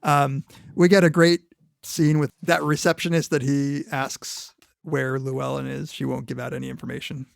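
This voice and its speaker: Podcast co-host, male, animated talker, bit of fry, dry studio sound. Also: medium pitch, normal speed, no room sound. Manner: animated, laughs often, recounts scenes. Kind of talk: casual film discussion.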